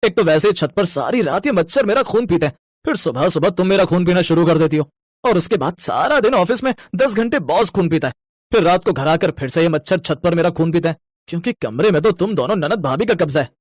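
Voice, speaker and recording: A man's voice: high voice, expressive, a little fast, dry recording, clean studio sound. A man playing a henpecked young husband in a story, sleepy and grumbling in a whining, put-upon way.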